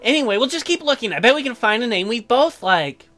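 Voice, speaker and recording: Woman with a high voice doing a jokey let's play, reading lines out, faint music. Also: lively intonation, fast talker, fairly bright tone. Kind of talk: casual game commentary and reading dialogue aloud.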